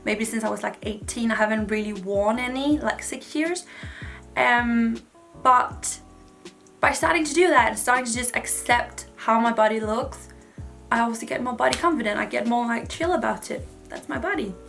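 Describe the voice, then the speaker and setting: Girl in her 20s vlogging, kinda high voice, chatty and frank, bit of music in the back. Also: normal speed, creaky, fairly bright tone. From Denmark. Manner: chatty, frank, many "like" fillers. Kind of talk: casual advice talk to camera.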